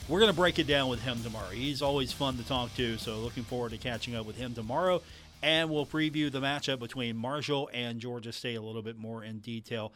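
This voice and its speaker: A man's mid-pitched voice comes close and dry over faint music. He hosts sports radio, speaking off the cuff in a conversational, emphatic way. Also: fairly bright tone, no room sound. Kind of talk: casual unscripted sports talk to listeners. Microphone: close studio microphone.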